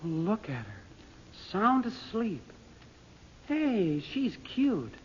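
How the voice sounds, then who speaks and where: Excitable, blunt teenage boy, doubting but eager, very animated, dull vintage sound. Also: mid-range voice, very slow, exaggerated voice. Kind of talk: old-time radio drama acting. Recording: muffled, hissy old radio recording.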